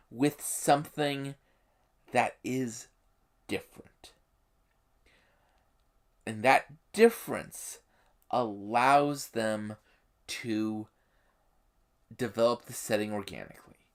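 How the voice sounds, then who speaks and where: Slow, animated explainer with emphatic pauses. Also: medium pitch. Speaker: YouTube host. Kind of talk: explanatory commentary on a game setting.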